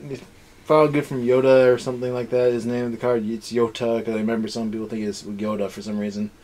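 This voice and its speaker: A man's voice: medium pitch, level tone, fast, a little mellow, poor audio. A young man hosting a casual review chat, rambling along in an exasperated way. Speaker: review show host. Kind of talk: casual rant-style episode review chat.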